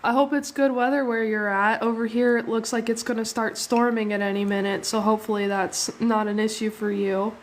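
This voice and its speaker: A young woman hosts a casual drawing stream from home, sounding slightly sniffly after a cold. Her voice sits a little higher than average, and she chats easily with viewers, with quick thanks and frequent laughs. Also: flat delivery, slight background noise. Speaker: art livestream host. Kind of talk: casual live drawing chat.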